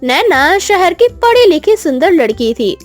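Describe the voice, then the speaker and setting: A woman narrates a moral story from a script. Her voice is high and very animated in pitch, with faint music underneath. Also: measured pace.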